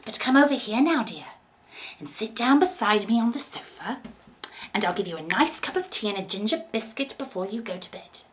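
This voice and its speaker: A woman's voice: on the high side, animated, brisk, fairly bright tone. A woman reading a storybook aloud, voicing a dainty older landlady who is sweet, fussy and eerily doting, with a gushing, genteel, cooing manner. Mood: sweetly hospitable.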